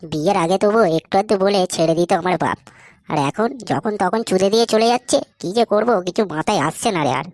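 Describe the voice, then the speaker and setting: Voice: mid-range voice, quick pace, natural voice. An actor voicing a hapless, crude, complaining young married slacker in a comedy cartoon, speaking. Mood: whining. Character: hapless foul-mouthed young married man, jobless, whining slacker.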